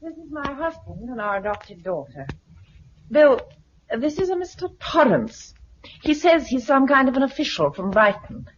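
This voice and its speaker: A muffled, faintly hissy vintage radio recording of an uneasy wife. Her high voice is politely formal, with very varied intonation. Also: big pitch swings, normal speed. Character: polite, uneasy wife introducing armed strangers. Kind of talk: 1950s British radio drama acting.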